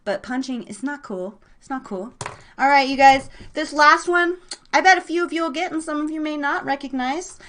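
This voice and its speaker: A woman with a fairly high voice hosts a live radio guessing game. Her delivery is casual and excitable, with slangy, informal encouragement for the players. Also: normal speed. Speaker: live show host running a guessing game.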